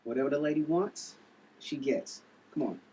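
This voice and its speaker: A man's voice: on the high side, normal speed, close to an everyday voice. A man at a table read playing an easygoing, supportive young man who is smitten. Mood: tender. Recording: distant mic, faint background noise.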